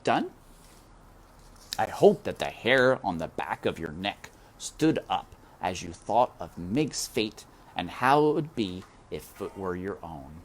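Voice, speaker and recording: A man reads a children's novel aloud at an unhurried pace, with a lively, expressive pitch. He lingers on dramatic moments and pauses to speak directly to his listeners. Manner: dramatic, addresses listener directly, slow and deliberate. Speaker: reader.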